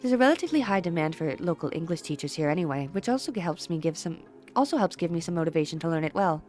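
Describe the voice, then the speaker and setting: A woman voicing a gentle, polite, composed teenage schoolgirl in a visual novel, speaking in a soft, measured, courteous way. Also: fairly low pitch, lively intonation, faint music behind, close to an everyday voice.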